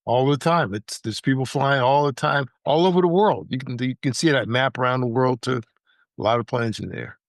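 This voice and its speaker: A man's voice: mid-range voice, brisk, dry recording, clean studio sound. An American man co-hosting a podcast in a casual, chatty style. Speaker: podcast co-host. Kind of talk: casual chat.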